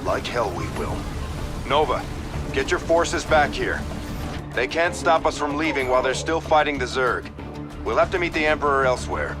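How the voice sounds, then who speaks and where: A man playing a stern but fair military admiral in a video game, measured and authoritative. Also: medium pitch, animated, roomy sound, music in the background, natural voice. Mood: defiant. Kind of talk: video game cinematic acting.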